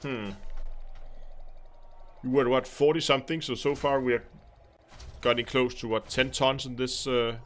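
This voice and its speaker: A man's voice: little pitch movement, normal speed, pauses often. A man with a Danish accent thinking aloud in casual commentary while live-streaming a game. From Denmark. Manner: thinking aloud, musing, low-key.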